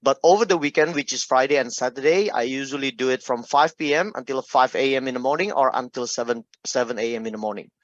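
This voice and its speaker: A middle-aged man from Indonesia with an Indonesian accent, telling his personal story in an informal, halting, conversational talk. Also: medium pitch, dry recording, highs cut off. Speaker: speaker giving a personal health testimony.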